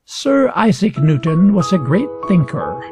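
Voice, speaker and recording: A man reading a scripted educational story aloud for English learners with deliberate, emphatic phrasing. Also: on the high side, very animated, music in the background. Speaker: reader.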